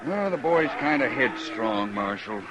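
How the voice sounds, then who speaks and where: A stubborn American cowhand in custody played by a man. He is wary and folksy, speaking plainly in a medium-pitched voice. The old radio western recording carries clear background noise. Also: lively intonation, a little slow, natural voice. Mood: earnest, guarded.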